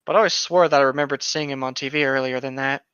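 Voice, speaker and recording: A man's voice: medium pitch, steady tone, fast talker, a bit bright, smooth, dry recording. A young man in his twenties co-hosting a casual horror-story reading, chatting and reading aloud on a lo-fi microphone. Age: 20s. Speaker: co-host reading internet horror stories.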